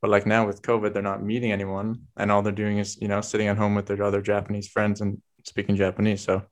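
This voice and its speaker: A man hosts a laid-back interview, chatting loosely and asking open questions. His voice is a little lower than most men's. He keeps it conversational and easy. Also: completely flat delivery, quick pace. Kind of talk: casual interview chat.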